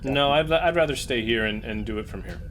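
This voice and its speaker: A man's voice: medium pitch, lively intonation, brisk, fairly bright tone, a little hiss or hum. A man talking casually as a player in a tabletop role-playing game.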